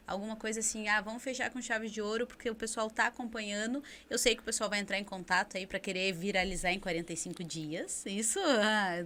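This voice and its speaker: A woman's voice: mid-range voice, bright, forceful tone. A Brazilian woman hosting a podcast, chatty and steering the conversation toward her guests. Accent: Brazilian Portuguese. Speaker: podcast host. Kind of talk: casual podcast interview.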